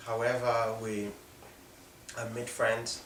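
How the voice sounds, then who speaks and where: A young man from South Sudan with a South Sudanese accent tells a personal story unscripted, in a halting way with pauses mid-sentence. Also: mid-range voice, completely flat delivery, a bit bright. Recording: echoey room, distant mic, faint noise.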